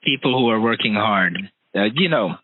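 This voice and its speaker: A man speaking earnestly and haltingly in a conversation, often pausing to search for his words. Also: fairly low pitch, lively intonation. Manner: hesitant, halting delivery. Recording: roomy, tinny phone-like call audio.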